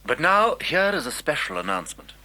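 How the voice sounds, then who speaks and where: An actor playing a formal, excited radio newsreader and studio interviewer in an audio drama, announcing crisply. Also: male voice, on the high side, brisk, over loud music, rough recording. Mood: formal, sensational. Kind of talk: mock news broadcast in audio drama.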